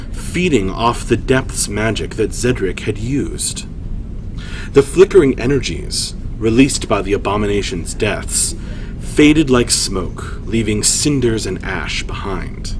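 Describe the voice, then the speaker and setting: A man's voice: on the low side, animated, slow, background noise. A man hosting a live story reading, narrating and chatting casually with hesitant, self-deprecating asides. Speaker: storytime host and reader.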